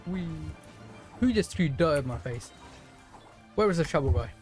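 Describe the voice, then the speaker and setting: A man's voice: a little high, lively intonation, moderate pace, soft background music. A man giving casual live gameplay commentary, chatting playfully to the characters and enemies as he plays. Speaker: video game streamer.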